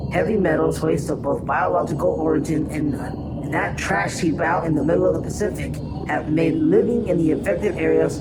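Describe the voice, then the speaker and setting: A man's voice: a little high, lightly acted. A man playing an earnest Atlantean counselor in an audio drama, speaking with formal deference. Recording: loud background noise and ambience.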